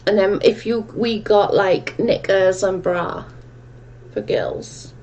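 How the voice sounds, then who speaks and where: A woman's voice: mid-range voice, animated, slow pace, a little mellow, poor audio. A woman with a strong Welsh accent hosting a casual livestream chat, reading viewer comments aloud and answering them in a chatty, rambling way. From Wales. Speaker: livestream host.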